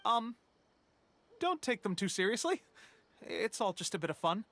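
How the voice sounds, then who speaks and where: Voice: medium pitch, sing-song, normal speed, a bit bright. A voice actor playing a flustered young male editor who stammers anxiously. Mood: nervous.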